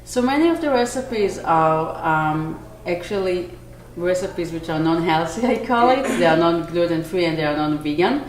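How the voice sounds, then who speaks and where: A woman in her forties from Israel with an Israeli accent, a bakery owner answering interview questions earnestly, pausing to search for words. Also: fairly low pitch, lively intonation. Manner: earnest, with small restarts and searching pauses. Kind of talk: on-camera interview. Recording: echoey room, faint noise.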